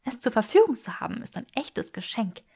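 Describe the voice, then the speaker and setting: A woman's voice: on the high side, sing-song, brisk, creaky, a little mellow, slightly rough, exaggerated voice. A woman voicing an eager, bookish young woman for an audiobook, breathless and emphatic as she gushes. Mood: gushing, delighted. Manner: breathless, gushing, emphatic delivery. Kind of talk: acted audiobook dialogue.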